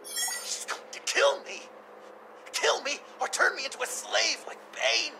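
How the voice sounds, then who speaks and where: An actor playing an excitable, needy young male villain in a video game, theatrical and swinging between gushing and muttering. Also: very high voice, a little slow, faint music behind, exaggerated voice. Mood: agitated, fearful.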